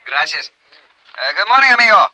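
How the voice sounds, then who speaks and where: An actor playing an affable police chief, speaking halting English peppered with in a vintage radio drama. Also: male voice, high-pitched, sing-song, bright, forceful tone, no echo, full character voice. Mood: genial, teasing. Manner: halting English, searching for words, peppered with Spanish. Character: affable Latin American police chief, playfully chatty. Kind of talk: 1950s radio drama acting. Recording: dull band-limited vintage radio recording.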